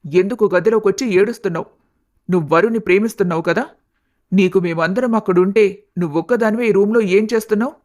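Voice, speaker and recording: A mid-pitched female voice acted by a woman plays an old village grandmother in an animated tale. She is stern and direct, grave in manner, yet caring toward her family. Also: fast talker, close to an everyday voice. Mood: stern, probing. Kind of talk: animated family story dialogue.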